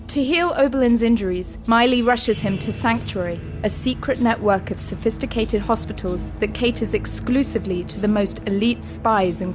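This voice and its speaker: A woman narrating an audio drama recap in a measured, steady storytelling voice. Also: medium pitch. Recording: music under the narration.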